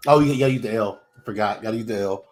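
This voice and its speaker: A man's voice: lowish voice, fast. A male podcast co-host in casual joking banter, teasing his friends. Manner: teasing, animated.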